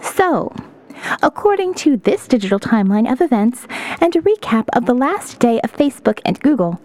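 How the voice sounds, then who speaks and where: A woman's voice: high-pitched, darkish tone. A woman playing a weary, sardonic survivor reading out her diary in an audio novel, in a wry, cynical, reflective way. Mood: somber, reflective. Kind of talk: first-person audio novel narration.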